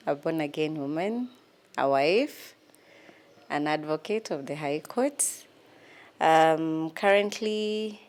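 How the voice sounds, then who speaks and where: Kenyan woman, 30s, interview guest, low and unhurried, pausing with ums. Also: a little mellow. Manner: hesitant, many ums, laughs at herself. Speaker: guest, lawyer. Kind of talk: casual interview answers.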